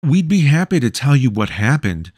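A man's voice: medium pitch, big pitch swings, normal speed, a little mellow, slightly rough, dry recording, clean studio sound, pitched above the performer's own voice. A man voicing a polite, earnest teenage boy.

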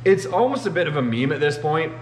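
A man's voice: on the high side, very animated, quick pace. A man in his thirties explains shop matters in a loose, conversational way, dropping "you know" often. He speaks straight to a camera across a large machine shop. The sound is roomy with a faint hum underneath. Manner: conversational, uses many "you know" fillers. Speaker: machinist show host. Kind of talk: casual explanatory talk to camera.

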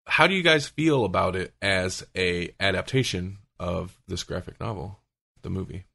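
A man's voice: sits low, normal speed, creaky, sharp tone, breathy edge. A man chatting casually as a podcast host, thinking aloud and often qualifying what he says.

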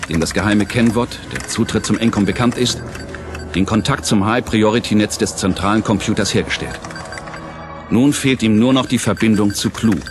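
Solemn low-voiced male narrator over music. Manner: solemn, measured storytelling. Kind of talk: scripted dramatic audio-play narration. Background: prominent music.

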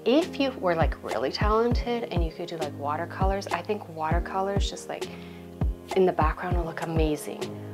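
A woman's voice: lowish voice, normal speed, over loud music. A woman in her thirties hosting a home DIY tutorial at home, chatty and self-deprecating with playful asides, on a lapel mic. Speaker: home decor YouTuber. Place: home interior, hallway and craft table.

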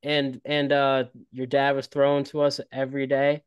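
A man's voice: mid-range voice, completely flat delivery, moderate pace, clear, resonant tone, no room sound, dull audio. A man hosting a casual podcast who asks his questions hesitantly and stops to rephrase them. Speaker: podcast host asking questions.

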